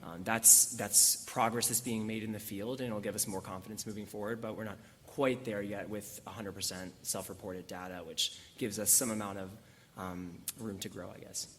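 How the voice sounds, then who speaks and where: A man on a panel explaining how data was collected, in a measured way. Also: fairly low pitch, fairly even intonation, a bit bright, a little hiss or hum. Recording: long hall reverb.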